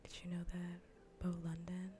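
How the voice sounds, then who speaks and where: A woman speaking in a soft, breathy whisper close to the mic, chatting playfully and teasingly as an ASMR livestream host. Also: fairly low pitch, monotone, moderate pace, a little hiss or hum. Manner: teasing, playful, mock-scolding. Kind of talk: soft whispered ASMR gaming stream chat. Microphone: close ASMR microphone.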